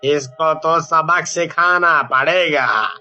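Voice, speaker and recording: A man voicing a proud, spiteful, scheming rival shopkeeper in an animated story. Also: a little high, takes it slowly, exaggerated voice. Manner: plotting, resentful. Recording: dull lo-fi sound.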